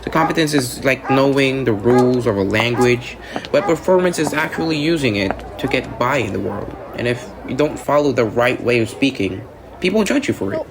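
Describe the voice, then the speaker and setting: A man's voice: medium pitch, expressive, normal speed, lightly acted. A man playing an earnest, studious student in an amateur radio drama, explaining ideas conversationally and often checking in with a "right?". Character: earnest, academically-driven male student explaining ideas to classmate. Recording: noisy outdoor ambience.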